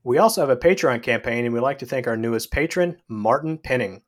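A man opens a woodworking podcast, reading the welcome and sponsor thanks in a smooth, practiced manner. His pitch sits right in the middle for a man. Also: expressive, quick pace. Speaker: podcast host. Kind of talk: scripted podcast intro and chat.

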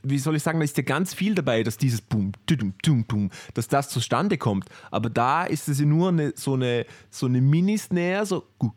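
A man with an ordinary mid-range voice in easygoing podcast chat. He explains things calmly and mimics beats with his mouth. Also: medium pitch, normal speed, few pauses. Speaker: podcast co-host. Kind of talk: casual chat.

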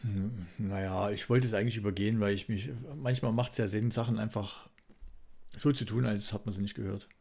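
A man's voice: lowish voice, fairly even intonation, a little fast, vocal fry, darkish tone. A man from East Germany chatting casually and jokingly as a podcast host. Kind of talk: casual comic chat.

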